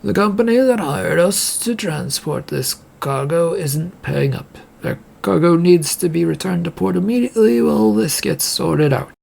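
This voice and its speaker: Husky, troubled shipping boss acted by a man. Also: high voice, expressive, moderate pace, few pauses, a little mellow, voice pushed up, heavily acted. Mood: urgent, commanding. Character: troubled, insistent male shipping company boss.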